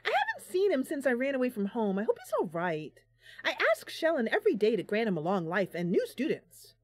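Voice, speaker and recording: A woman's voice: a little high, huge pitch swings, fairly quick. A woman voicing an eager, starry-eyed young female bard in a fantasy game, telling things in a gushing, emphatic way. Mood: wistful.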